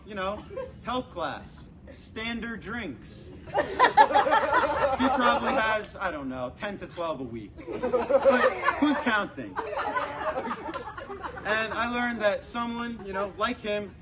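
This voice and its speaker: A young man telling stand-up comedy stories to an audience in a conversational, halting way, with pauses. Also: sits high, a loud crowd. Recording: very noisy live comedy recording with clicks. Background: audience laughter.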